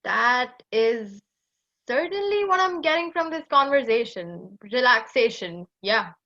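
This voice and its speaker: A woman's voice: a little high, animated, a little slow, sharp tone, lo-fi sound, heavily acted. A woman playing a sardonic, impatient spaceship crew member in a sci-fi audio drama, sarcastic and exasperated.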